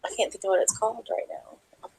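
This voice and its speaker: A woman with a strongly gravelly, creaky voice keeps the chat going with short, supportive replies. She speaks at an ordinary mid pitch in a relaxed, offhand way. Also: brisk, rough recording. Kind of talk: casual live chat.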